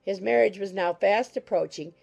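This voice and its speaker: A woman reading scripted audiobook narration in a measured, formal storytelling manner. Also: mid-range voice, creaky, darkish tone, muffled recording, soft background music.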